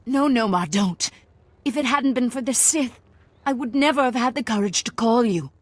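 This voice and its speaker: An actress voicing a wary, stubborn, lovelorn middle-aged woman in a dramatic video game scene, with a husky voice. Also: fairly high pitch, normal speed, dry recording, natural voice. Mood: pleading, heartfelt.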